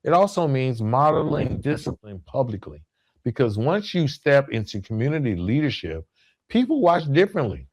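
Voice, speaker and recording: A man's voice: medium pitch, big pitch swings, normal speed. A man hosting a podcast, giving a semi-scripted motivational monologue in an earnest, emphatic way with repeated phrasing for effect. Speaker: podcast host.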